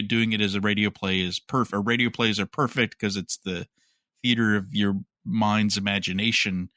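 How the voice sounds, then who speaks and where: Man, low voice, bright edge, casual podcast chat, opinionated, close dry recording. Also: no echo. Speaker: podcast co-host. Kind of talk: casual chat about entertainment news.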